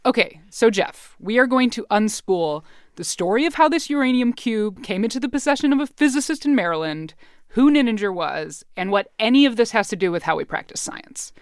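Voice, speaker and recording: A woman's voice: a little high, animated, fairly quick, no room sound, clean studio sound. An American woman hosting a science podcast in a casual, playful, conversational storytelling style. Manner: informal, playful.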